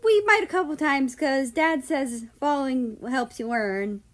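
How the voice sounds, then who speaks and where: A woman's voice: high-pitched, unhurried, fairly bright tone, voice pushed up. A woman voicing a frank, matter-of-fact boy in a story. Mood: blunt.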